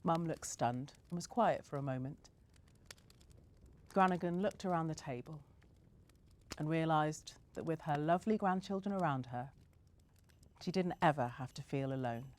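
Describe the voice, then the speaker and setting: A woman narrates a storybook in a gentle, measured manner. Her voice sits low for a woman. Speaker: story reader.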